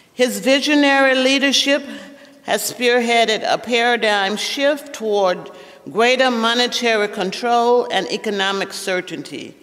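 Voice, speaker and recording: A man reading a formal introduction of a guest, deliberate and stumbling on some words. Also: sits high, fairly bright tone, in a large hall.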